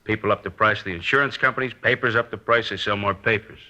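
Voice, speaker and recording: An actor playing a slick, evasive crooked fence with a glib, slangy manner in a radio crime drama. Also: male voice, on the low side, fast, pushed and bright. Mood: evasive, defensive. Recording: dull vintage radio recording.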